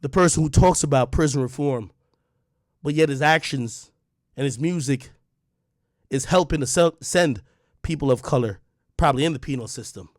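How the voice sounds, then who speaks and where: A man's voice: a little high, moderate pace, long pauses, dry recording, clean studio sound. A young adult man delivering a confrontational rant straight at the listener, repeating his points with heat. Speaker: online media personality. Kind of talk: direct-to-camera rant.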